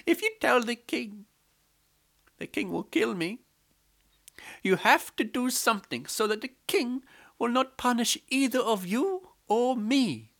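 A man's voice: very animated, slow, gravelly, pauses often, sharp tone, full character voice. A man doing a guilty, anxious maidservant. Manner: anxious, pleading, high-pitched. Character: guilty young palace maidservant.

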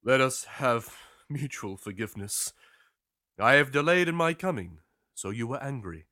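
A storyteller voicing an earnest-sounding but evasive male sailor in an emphatic, deliberate way. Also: mid-range voice, expressive, bright, forceful tone, dry recording, studio quality. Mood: forgiving.

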